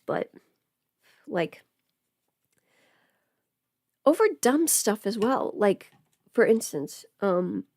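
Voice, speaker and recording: A woman's voice: mid-range voice, darkish tone, no room sound. Female livestreamer, mid-range voice, off-the-cuff and loosely rambling get-ready chat, mildly sweary, very dry sound. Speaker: livestream host. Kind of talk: casual livestream chat while doing makeup.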